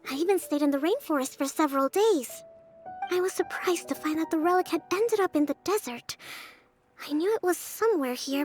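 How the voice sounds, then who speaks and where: A woman voicing a composed young priestess and leader in a video game, speaking softly, politely and in measured, formal phrases. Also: very high-pitched. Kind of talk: video game character acting. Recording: music under the voice. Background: background music.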